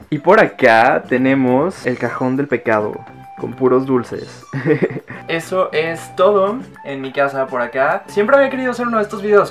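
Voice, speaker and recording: Young Mexican male vlogger, giggly and affectionate, handheld camera, faint music bed. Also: mid-range voice. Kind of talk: casual house tour vlog. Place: mobile home, indoors and outdoors. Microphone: handheld camera at arm's length.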